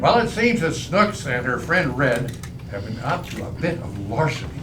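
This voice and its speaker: A man's voice: medium pitch, sing-song, normal speed. A man announcing in the scripted style of a 1940s radio show. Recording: roomy, distant mic, loud music behind.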